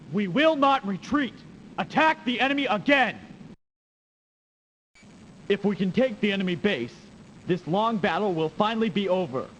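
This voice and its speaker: High-pitched, proud ruthless military commander. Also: male voice, a bit bright, muffled recording, full character voice. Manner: emphatic, commanding, theatrical. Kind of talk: dramatic video game cutscene acting. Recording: noisy 1990s game audio, long reverb.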